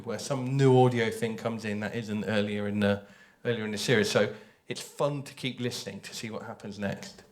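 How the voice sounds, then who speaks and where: A man speaking informally on a panel as an audio drama creator, telling how the work was made in an animated way. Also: mid-range voice. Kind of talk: panel talk, informal explanation.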